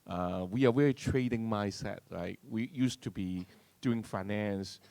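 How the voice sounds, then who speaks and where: A man from Hong Kong, with the local accent, talks informally as a panelist. His voice sits fairly low and is recorded dry, with little room sound. He explains in a conversational, step-by-step way. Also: unhurried, vocal fry, mellow, dry recording. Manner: conversational, punctuates with "right?". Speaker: science park representative, panelist. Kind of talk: informal panel discussion answer.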